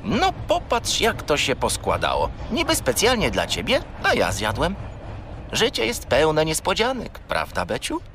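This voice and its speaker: An actor playing a naughty, chatty little furry companion who rambles and whines naively in a children's radio play. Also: male voice, a little high, huge pitch swings, a little slow, a bit bright, a little hiss or hum, heavily acted. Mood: sheepish, evasive. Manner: rambling, naively evasive, whiny.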